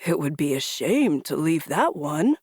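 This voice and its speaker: Woman voicing a slow, low, kindly old man. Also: sing-song, darkish tone, breathy edge, no echo, clean studio sound, full character voice. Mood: troubled, regretful. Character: very old man, kindly, joyful, easily charmed by cats.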